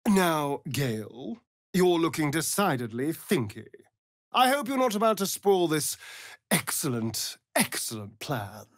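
A man's voice: on the high side, sing-song, a little slow, no room sound. Sardonic ancient vampire, arch and theatrical, video game style, takes his time, close dry sound. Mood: arch, playful. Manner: arch, drawling, flamboyant delivery.

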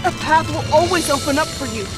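A woman voicing a spirited, stubborn, kind-hearted young ninja hero in an anime game dub, earnest and expressive. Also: high-pitched, fast talker, over music, close to an everyday voice. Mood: determined, earnest.

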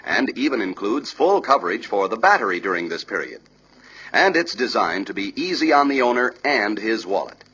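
A man's voice: medium pitch, bright, forceful tone, slight background noise. An American man reading scripted 1960s sales training narration in an emphatic, persuasive, salesman-like manner. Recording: dull, lo-fi 1960s film soundtrack.

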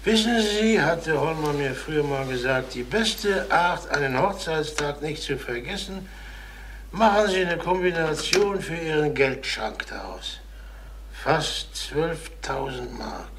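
An actor playing a troubled middle-aged ex-salesman turned burglar in a crime radio drama, halting, confessional and repeating his words. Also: a little high, animated, fairly bright tone, slight background noise. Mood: brooding, confessional. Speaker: former travelling salesman.

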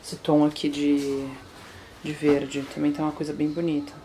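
A woman's voice: deep voice, completely flat delivery, moderate pace, noisy background. A woman in her mid-twenties with a São Paulo Brazilian accent, chatting casually and informally to a camera at home, slangy and self-deprecating. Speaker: vlogger. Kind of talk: casual daily vlog chat to camera. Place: small rooms at home, indoors.

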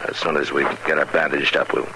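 An American man playing a stern, steady western marshal in a 1950s radio drama, speaking gravely and deliberately with authority. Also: very deep voice, heavily acted. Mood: somber, firm. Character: stern, steady male frontier lawman, a marshal. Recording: noisy vintage 1950s radio recording.

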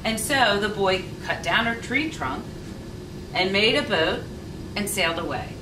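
A middle-aged woman reading a children's storybook aloud with deliberate, expressive phrasing. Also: mid-range voice. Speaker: volunteer story reader. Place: indoor sitting room with leather sofa. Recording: roomy, distant mic, faint noise.

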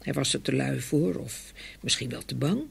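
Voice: medium pitch, normal speed, creaky, husky. A storyteller giving a dramatic scripted narration of a fairy tale. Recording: faint ambient noise behind voice.

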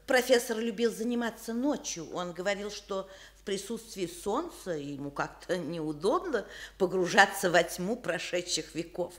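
Radio drama: genteel elderly widow, effusive and kindly, animated intonation , played by an actress. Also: female voice, on the high side, close to an everyday voice. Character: kindly, lively old professor's widow, chatty grandmother. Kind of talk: Soviet radio theatre acting.

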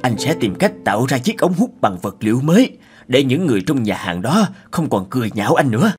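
A man's voice: mid-range voice, very animated, brisk, soft background music, natural voice. An actor playing an earnest, anxious middle-aged male inventor in a cartoon, speaking expressively. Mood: determined. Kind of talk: animated moral-tale cartoon dubbing.

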